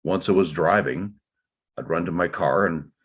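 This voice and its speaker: A man playing a burdened, defensive father in a dramatic table read, explaining himself in halting, measured phrases. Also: low-pitched, breathy edge, dull audio. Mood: subdued, explaining.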